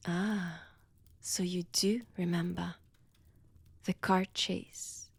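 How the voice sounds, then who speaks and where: Woman acting as ancient vampire villainess, French accent, unhurried delivery, seductive, mocking, menacing, breathy whispers, dry intimate audio drama. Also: fairly low pitch, a little mellow, dry recording, heavily acted. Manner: slow, taunting, theatrical, dropping often into breathy whispers.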